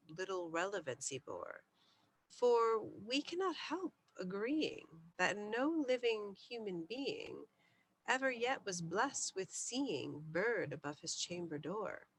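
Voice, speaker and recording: A woman storyteller giving a deliberate, theatrical bedtime reading of gothic poetry, sometimes hushed. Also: on the low side, slightly rough, rough recording.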